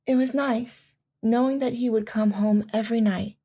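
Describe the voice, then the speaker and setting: A woman playing a devoted military wife in an audio drama, gently and wistfully recalling life with her husband. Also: on the high side, fairly even intonation, normal speed. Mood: fond, nostalgic. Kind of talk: audio drama acting, reminiscing narration.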